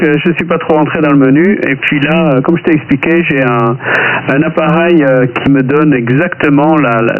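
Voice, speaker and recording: A man chatting casually as an amateur radio operator, explaining things in a hesitant, stop-start way. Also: on the high side. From southern France. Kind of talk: casual two-way radio chat. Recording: tinny narrowband radio, faint hiss.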